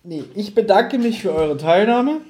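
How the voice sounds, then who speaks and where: A man's voice: high voice, expressive, normal speed, a little mellow, roomy sound. A man chatting casually as a podcast host, giving blunt, opinionated reviews in colloquial language.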